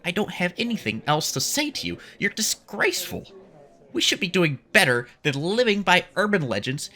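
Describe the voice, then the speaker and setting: An actor playing an indignant, principled activist arguing emphatically in an audio drama. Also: male voice, sits high, big pitch swings, moderate pace, bright, forceful tone, full character voice. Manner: emphatic, argumentative. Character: indignant, principled alien-rights activist, sticks to facts.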